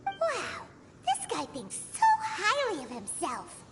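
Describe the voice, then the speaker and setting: A woman voicing a tiny, childlike, cheeky fairy companion in a video game, with a squeaky voice. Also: wildly swooping pitch, slow, full character voice. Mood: cheeky, exasperated. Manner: speaks of herself in third person, exclaims. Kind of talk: video game character acting. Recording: loud game music behind.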